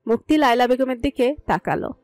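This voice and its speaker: Woman, high-pitched, scripted romantic narration, dry recording, soft music behind. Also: fast, dry recording. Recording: music under the voice.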